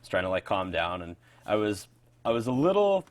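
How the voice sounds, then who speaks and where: A man's voice: fairly low pitch, sing-song, a little fast, no echo. A man hosting a music show, chatting casually and enthusiastically with a guest. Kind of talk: casual interview chat.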